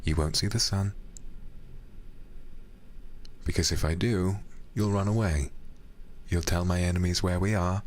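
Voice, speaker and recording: A man playing a menacing, amused demon interrogator in an intimate ASMR roleplay, speaking slowly and deliberately with teasing pauses. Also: deep voice, fairly even intonation, a little hiss or hum, lightly acted. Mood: calm menace.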